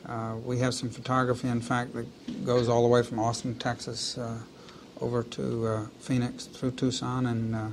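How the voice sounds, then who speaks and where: A man's voice: medium pitch, completely flat delivery, moderate pace, few pauses. A man, an astronaut, answering a question at a news conference. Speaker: astronaut, crew member. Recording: hissy archival 1980s press conference audio.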